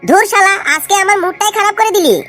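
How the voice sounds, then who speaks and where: A bullying, irritable young cartoon guy, voiced by a woman, grumbles in slang. The voice is extremely high and hurried, with faint music underneath. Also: sharp tone. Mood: irritated bossy. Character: bossy, irritable young male drinking buddy. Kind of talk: amateur comedy cartoon dialogue.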